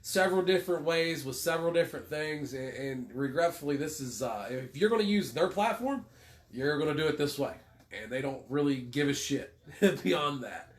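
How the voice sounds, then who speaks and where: A man's voice: a little high, animated, moderate pace. A man with a cold, casually co-hosting a livestream, rambling, swearing and cracking self-deprecating jokes. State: congested with a cold. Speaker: livestream co-host.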